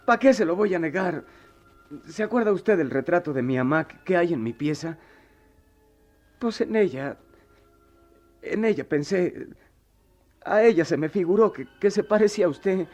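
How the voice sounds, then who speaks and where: An actor playing a troubled, proud, remorseful working-class former pupil in a radio soap, with a Mexican accent and halting, colloquial speech. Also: male voice, sits high, lively intonation, darkish tone, faint music behind. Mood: emotional, halting. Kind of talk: melodramatic radio soap acting.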